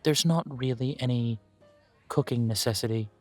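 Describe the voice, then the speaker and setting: A man voicing a polite male elf who owns a coffee shop, interviewing the listener for a job in a calm, formal way. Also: mid-range voice, moderate pace, soft background music, lightly acted. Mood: courteous. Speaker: shop manager interviewing an applicant. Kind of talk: audio roleplay, talking to the listener.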